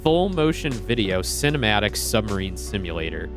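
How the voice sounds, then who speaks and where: A friendly man with a fairly low voice talks casually to his stream audience, greeting viewers and explaining an old game setup. Soft music plays faintly behind him. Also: big pitch swings, moderate pace. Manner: friendly, chatty, greets chat by name.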